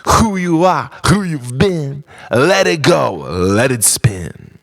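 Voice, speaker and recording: A man performing a theatrical spoken word monologue in short, deliberate phrases, dramatic and shifting between hushed and loud delivery. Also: mid-range voice, very animated, creaky, no room sound. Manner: dramatic, swinging between hushed whispers and shouted outbursts.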